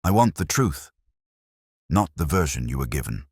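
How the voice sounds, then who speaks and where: A man voicing a shrewd, grave detective in an audiobook, speaking deliberately and pressing his point. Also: rumbling low, big pitch swings, husky, dry recording, studio quality, exaggerated voice. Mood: grave, probing. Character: shrewd, grave, probing male Victorian detective. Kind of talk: audiobook character dialogue.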